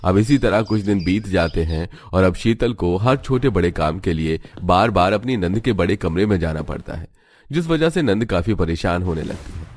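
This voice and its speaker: A man's voice: sits low, animated, normal speed, darkish tone, no echo. A man narrating a scripted family story for a cartoon.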